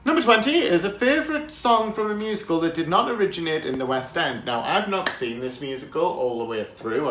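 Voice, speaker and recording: Chatty man with a croaky voice. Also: mid-range voice, fairly bright tone, reverberant. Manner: chatty and enthusiastic, laughs often. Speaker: vlogger. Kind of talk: casual chat to camera. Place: outdoors on a windy day.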